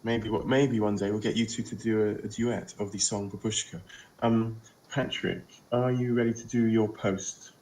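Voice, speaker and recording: A man with a low voice hosts a casual online discussion. His remarks are short and polite, and he steadily moves the conversation from one speaker to the next. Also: steady tone, normal speed, a little mellow, a little hiss or hum, low-quality recording. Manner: brief, polite, moves things along. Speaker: podcast host. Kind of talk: moderating a discussion.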